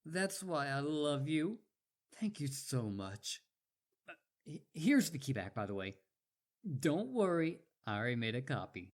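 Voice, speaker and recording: A man's voice: on the high side, big pitch swings, measured pace. A man playing an eager, boastful, lovestruck young wolf boy in a roleplay audio drama, rambling and stammering when flustered. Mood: smug, sweet.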